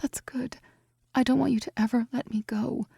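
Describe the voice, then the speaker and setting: A woman voicing an emotional, excited young winged heroine in a storybook. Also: fairly high pitch, moderate pace, creaky, breathy edge, dry recording, studio quality, pitched above the performer's own voice, heavily acted. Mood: tender.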